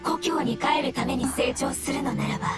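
A woman voicing a mystical, devoted mushroom creature in an anime game, speaking in a solemn, unhurried, formal way. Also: high voice, close to an everyday voice. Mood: solemn devotion. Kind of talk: Japanese anime game voice acting. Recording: studio voice under loud music.

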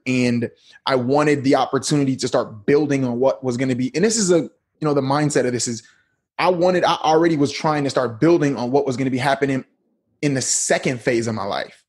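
Husky-voiced man telling his story. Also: medium pitch, brisk. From United States. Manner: laid-back, slangy storytelling. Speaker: podcast guest. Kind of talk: casual interview talk.

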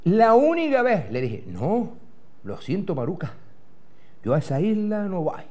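A man's voice: sits high, wildly swooping pitch, measured pace, a little mellow. A middle-aged man with a Canarian Spanish accent telling a rambling comic story as a TV host, swearing and doing the other people's voices, on a lapel mic in a studio. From Gran Canaria. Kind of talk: comic monologue in character. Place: TV studio in front of a backdrop.

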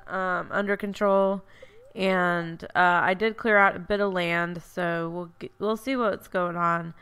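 Stuffy, gravelly-voiced woman gaming. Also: medium pitch, bright, forceful tone. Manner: chatty, self-correcting, thinking aloud. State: stuffy, congested. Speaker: let's play host. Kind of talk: casual gameplay commentary.

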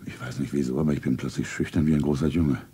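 An actor playing a rich, worldly suitor in a radio play, suave, courtly and romantically earnest. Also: male voice, very deep voice, fairly even intonation, fast, mellow. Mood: courtly, solemn. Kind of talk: 1970s German radio play acting. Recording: noisy 1970s radio play recording.

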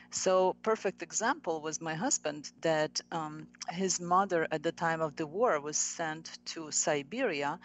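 A woman's voice: low voice, a little hiss or hum. A middle-aged woman from Ukraine with a Ukrainian accent, speaking earnestly as an interview guest and often restarting her sentences. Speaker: guest, nutrition coach. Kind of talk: casual interview talk.

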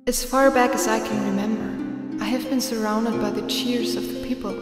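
A woman's voice: on the high side, fairly even intonation, moderate pace, natural voice. A woman voicing a proud, determined young gym leader in a manga dub, serious and dramatic. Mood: wistful. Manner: earnest, dramatic. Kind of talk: anime-style manga dub. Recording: echoey hall reverb under loud music.

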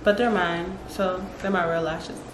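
A woman's voice: fairly low pitch, steady tone, unhurried. Young woman in an echoey, noisy room. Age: 20s. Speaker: vlogger, salon client. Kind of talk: casual chat. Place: small room indoors. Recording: roomy echo, clearly noisy room.

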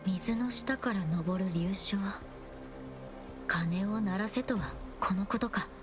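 Clear game music plays under a young female explorer voiced by an actress. She muses to herself in a composed, measured way, like a game heroine working through a puzzle, at a middling pitch. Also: close to an everyday voice. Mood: calm, focused. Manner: measured, composed, quietly musing. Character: young female wanderer, calm, observant puzzle-solving explorer. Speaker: game protagonist. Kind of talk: scripted game voice acting, thinking aloud.

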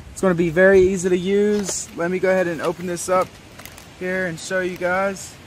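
A man giving a casual product demonstration as he unboxes, recorded outdoors from a step or two away. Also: sits high. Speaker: product reviewer. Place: outdoors, wooded yard. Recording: faint outdoor background noise.

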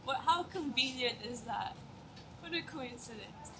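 A woman's voice: high voice, lively intonation, a little slow. A woman in her thirties chatting casually as she reviews restaurants on camera, laughing often and giving frank ratings. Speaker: travel vlogger. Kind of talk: casual food review chat. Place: busy city streets and restaurants, indoors and out. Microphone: handheld camera at arm's length. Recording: loud street crowd and traffic noise. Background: crowd chatter and traffic.

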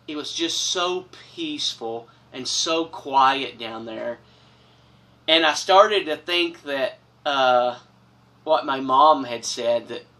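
A man's voice: medium pitch, far from the mic. A middle-aged man, telling a personal story to the camera in an earnest, dramatic way from a small wood-paneled room. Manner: earnest, dramatic, expressive with big gestures. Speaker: storyteller host. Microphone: camera from a few feet away.

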